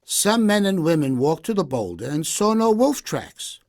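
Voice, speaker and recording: A man narrating a scripted children's story in an expressive, deliberate way with clear phrasing. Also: on the high side. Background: background music.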